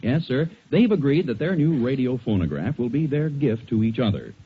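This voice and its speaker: A man's voice: mid-range voice, very animated, moderate pace, slightly rough. A man with an American accent announcing in polished, persuasive 1940s radio style, as scripted narration and advertising. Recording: muffled 1940s radio recording.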